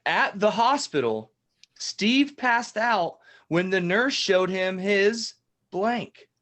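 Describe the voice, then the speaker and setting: A man's voice: sits high, animated, slow, fairly bright tone, full character voice. A man playing a genial game show host who teases contestants in an improvised comedy parody. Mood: playful.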